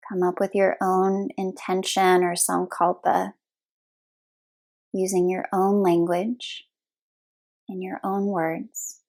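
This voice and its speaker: A woman leading a guided relaxation meditation as a yoga nidra instructor, speaking soothingly in short, unhurried phrases with long pauses. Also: on the low side, vocal fry, a little mellow.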